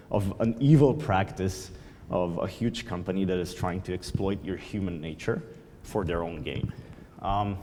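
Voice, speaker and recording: A man's voice: lowish voice, dark tone. A man whose first language is Slovenian, giving a conference talk and explaining with frequent ums. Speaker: conference speaker. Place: large conference hall. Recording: reverberant hall.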